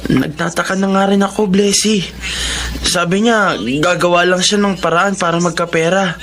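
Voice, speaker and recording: A man's voice: high-pitched, fairly even intonation, moderate pace, fairly bright tone. A man in a Filipino radio drama playing a worried, respectful young grandson and older brother.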